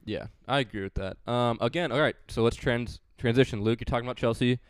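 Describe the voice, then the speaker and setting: A man's voice: mid-range voice, quick pace, smooth, no room sound. A man hosting a casual sports podcast, chatty and joking, with frequent fillers. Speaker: podcast host.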